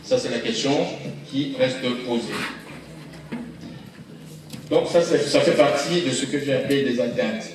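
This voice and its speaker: A man with a Congolese French accent speaks as a lawyer at a public conference, explaining points deliberately and didactically. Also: mid-range voice, background noise. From Democratic Republic of the Congo. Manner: deliberate, didactic, rhetorical questions. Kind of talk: public talk, explanatory speech. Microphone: handheld or event microphone. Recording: very echoey hall, far from mic.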